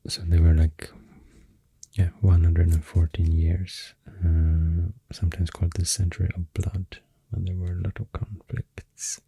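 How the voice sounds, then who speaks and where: A man with a very low, dark voice and a Swedish accent speaks softly and breathily, close to a whisper. He rambles slowly and hesitantly in ASMR style, right up on a dry microphone. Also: fairly even intonation, no echo. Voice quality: soft, breathy, near-whisper. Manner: hesitant, unscripted, unhurried. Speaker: ASMR creator. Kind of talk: soft-spoken ASMR rambling explanation.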